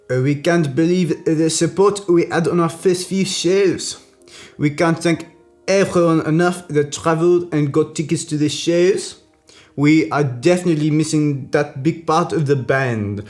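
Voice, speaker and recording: A man reading aloud as a host in a mock bedtime-story style, with a deliberate, theatrical storytelling delivery. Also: fairly high pitch.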